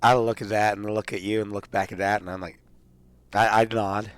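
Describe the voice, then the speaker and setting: A man's voice: lowish voice, flat delivery, a little fast, clear, resonant tone. A man playing in a tabletop roleplaying game, talking casually and improvising.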